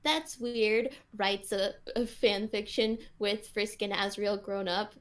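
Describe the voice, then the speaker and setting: A woman's voice: medium pitch, fairly even intonation, slow, a bit bright. A woman joining casual banter in a playful, teasing manner.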